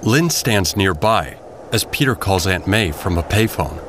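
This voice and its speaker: A man's voice: sits low, animated, unhurried, noisy background. A man narrating an audio drama from a script in a dramatic style.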